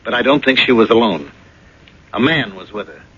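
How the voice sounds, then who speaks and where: A stern, resolute husband in a radio drama. He is insistent and clipped, with a bright, hard-edged, slightly rough voice on a muffled old broadcast. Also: mid-range voice, animated, brisk. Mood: urgent.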